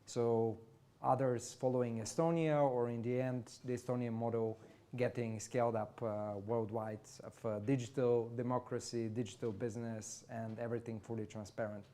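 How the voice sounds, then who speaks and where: A man from Bulgaria moderating a live panel event, speaking earnestly and measuredly with frequent filler pauses. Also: mid-range voice, level tone, mellow. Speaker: event moderator, e-resident community board member. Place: event venue, live audience.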